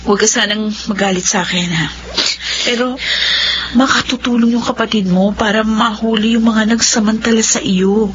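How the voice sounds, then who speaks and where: An actress playing a gentle young friend in a radio drama, careful and pleading in manner. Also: female voice, a little high, fairly even intonation, moderate pace, natural voice. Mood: soothing. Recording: dull lo-fi recording with faint noise.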